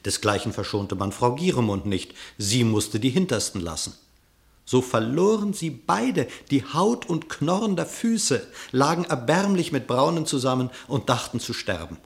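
A man's voice: medium pitch, normal speed, creaky. A man narrating a verse fable in a radio play, telling it expressively. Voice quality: expressive storytelling, dramatic.

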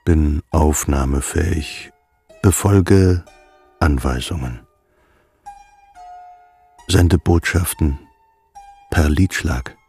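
An actor playing a grave man who recites halting, deliberate fragments in a poetic radio play, his voice husky and rough. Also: a bass voice, darkish tone, heavily acted.

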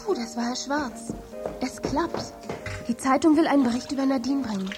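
An actress playing a friendly, determined teenage girl in a German children's audio drama, with a light, husky voice. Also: high voice, normal speed, music in the background, close to an everyday voice. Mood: eager, determined.

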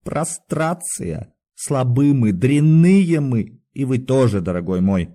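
A man's voice: medium pitch, lively intonation, slow pace, mellow. A man voicing a cultured, weak-willed aging doctor in an audiobook, pleading and exclaiming in educated speech. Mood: bitter, despondent.

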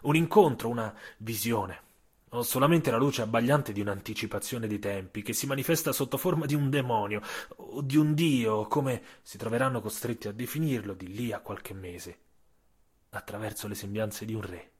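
Husky male dramatic storyteller. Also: on the low side, a little mellow. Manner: theatrical storytelling with emphatic phrases and deliberate pauses. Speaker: author and narrator. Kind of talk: scripted audio-story narration.

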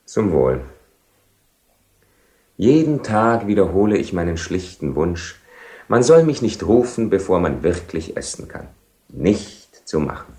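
Sardonic Don Juan in a roomy echo. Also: male voice, sits low, very animated, a little slow, long pauses, mellow. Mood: weary, irritable. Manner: ironic, declamatory. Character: Don Juan, proud mocking seducer, world-weary and theatrical. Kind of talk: German radio play acting. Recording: roomy echoing 1970 radio studio.

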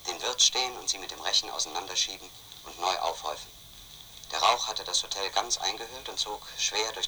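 An obsessed, brooding man tells his story in a radio drama. The voice is lowish and very forceful, intense and self-questioning, over faint noise. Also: low-quality recording. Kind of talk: first-person radio play narration.

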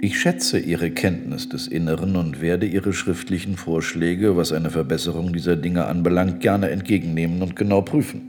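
An actor in a radio drama playing an unyielding general, speaking with measured, condescending politeness. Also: male voice, low voice, fairly even intonation, a little mellow, close to an everyday voice. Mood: coolly polite. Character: coldly courteous, unyielding Ottoman general in power. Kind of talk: German radio drama acting.

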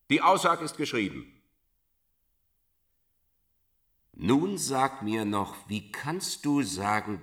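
An actor playing a suspicious, threatening regimental judge from the Thirty Years' War, questioning formally and pedantically in a radio play. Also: male voice, fairly low pitch, fairly bright tone, distant mic, full character voice. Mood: menacing. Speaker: military magistrate interrogating a prisoner.

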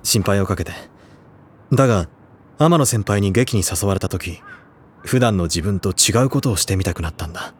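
Man as a deep-voiced, serious teenage boy. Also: expressive, normal speed, a little hiss or hum, natural voice. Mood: reserved, calm. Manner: stiff, earnest, formal. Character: serious, reserved high school boy, earnest and brooding. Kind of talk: anime audio drama dialogue and letter reading.

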